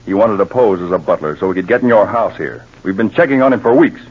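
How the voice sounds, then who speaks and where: An actor playing a firm, methodical counterespionage agent, grave and deliberate, in 1940s radio drama. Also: male voice, lowish voice, lively intonation, husky. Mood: coolly in command. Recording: dull 1940s radio recording.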